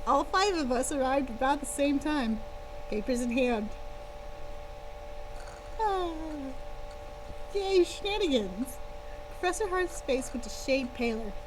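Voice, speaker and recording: A woman reading a first-person story aloud on a live stream, expressive and playful, with casual asides. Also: high-pitched, slow pace, long pauses. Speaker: author-style reader and streamer. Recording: clear background hum and noise.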